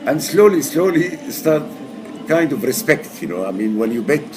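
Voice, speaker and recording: A man in his sixties, raised in Egypt, answering interview questions casually in halting English full of fillers and restarts. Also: fairly high pitch, big pitch swings, background noise. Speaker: poker player guest. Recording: music playing behind.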